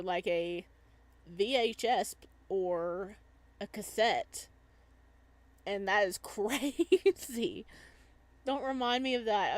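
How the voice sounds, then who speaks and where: A woman's voice: mid-range voice, no room sound. A woman hosting a casual visual novel playthrough, chatting and reading aloud. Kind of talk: casual playthrough chat and read-aloud narration.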